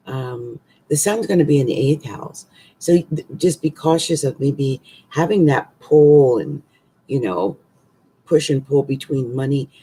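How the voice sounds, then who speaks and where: A middle-aged woman astrologer with a hoarse voice, giving a casual forecast from a small room at home into a webcam, rambling with many fillers and restarts. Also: sits low, mellow, rough recording. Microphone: webcam at desk.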